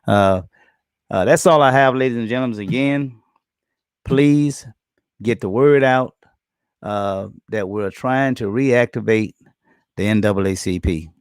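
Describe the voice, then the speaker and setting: A man hosting a live-streamed community talk show, loose and halting with many ums and uhs, his voice going hoarse as he keeps clearing his throat. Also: mid-range voice, dry recording, clean studio sound. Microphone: computer or phone on internet stream.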